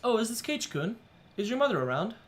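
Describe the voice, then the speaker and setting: A man's voice: high voice, very animated, fast talker, voice pushed up. A man voicing a polite adult caller asking for someone on the phone.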